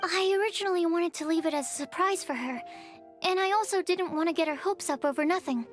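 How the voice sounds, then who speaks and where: A woman's voice: very high-pitched, quick pace. A woman playing a shy, studious young female alchemist in a video game, speaking in a hesitant, careful, analytical way. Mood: earnest, polite. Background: music.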